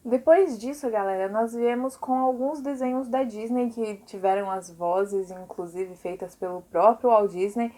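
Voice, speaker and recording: A woman's voice: a little high, unhurried, hardly any pauses, mellow. A Brazilian woman teacher giving an informal recorded lesson to pupils, chatty and explanatory, often adding little check-in tags.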